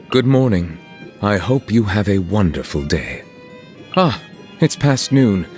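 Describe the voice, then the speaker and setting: Game role: dignified male chief justice, deep, reserved and eloquent, with expressive intonation and music underneath. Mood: polite, gentle. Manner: formal, measured, eloquent and reserved. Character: solemn, courteous chief justice of a fantasy nation. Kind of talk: scripted video game character lines.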